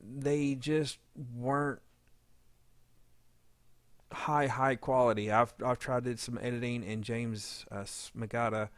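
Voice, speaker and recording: A man giving an informal editing tutorial, talking haltingly as he thinks aloud while working. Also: medium pitch, steady tone, no room sound. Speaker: audio drama editor giving a tutorial. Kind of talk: informal software walkthrough.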